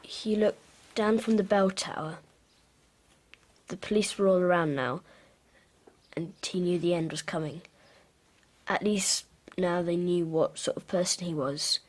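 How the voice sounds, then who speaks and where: A woman's voice: fairly low pitch, a little slow, pauses often. A woman playing a guarded, withdrawn twelve-year-old British schoolboy in an audio drama, subdued and reluctant. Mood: subdued reading.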